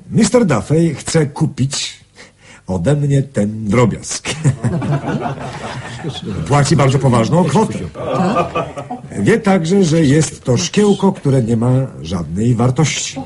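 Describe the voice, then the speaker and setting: A suave, animated, shrewd gentleman. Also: a little high, a crowd in the background. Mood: courtly, sly. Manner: polite, teasing, deliberate. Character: shrewd, suave gentleman owner of a magic ring. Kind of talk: radio drama acting.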